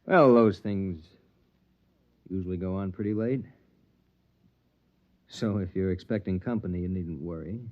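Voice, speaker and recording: An American actor playing a domineering, ambitious, suspicious husband in a sci-fi radio drama, with a stern, lecturing manner. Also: male voice, deep voice, unhurried, pauses often. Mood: controlled, resentful. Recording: dull, band-limited vintage radio recording.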